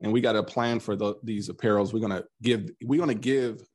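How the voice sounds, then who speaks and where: A man's voice: lowish voice, a little fast, breathy edge. A man talking as a podcast guest, telling his story earnestly and acting out what he once said, often restarting his sentences. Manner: earnest, animated storyteller who voices his past self in quotes; frequent restarts and repeated words. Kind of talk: casual conversational interview.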